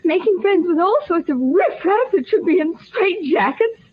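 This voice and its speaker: Through a thin, tinny vintage radio recording comes a very high-voiced, prim young secretary. She chatters in wordy, breathless sentences, fussy and easily flustered, in the manner of 1940s radio drama. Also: expressive, moderate pace. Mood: prim, reproachful. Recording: band-limited old radio broadcast recording.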